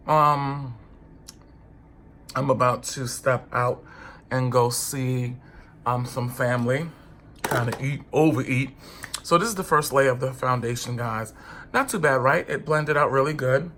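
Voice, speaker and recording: A man's voice: medium pitch, fairly bright tone, slight background noise. A man with a stuffy, congested voice, chatting casually and sassily to camera as a beauty vlogger. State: congested with a cold. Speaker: beauty vlogger reviewing foundation.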